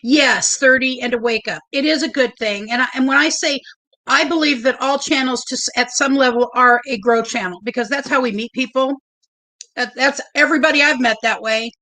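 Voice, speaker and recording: A woman hosting a casual livestream, chatty and a little nervous, with a loud, big voice. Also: high-pitched, fairly quick. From Oregon. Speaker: livestream host.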